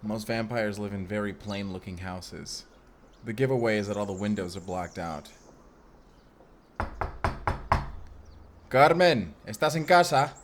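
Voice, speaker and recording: A man's voice: fairly low pitch, animated, normal speed, background noise, lightly acted. A man playing a blunt, irritable, hot-headed vampire slayer with a curt, exasperated manner in an audio roleplay. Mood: stern, wry. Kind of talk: cinematic audio roleplay acting.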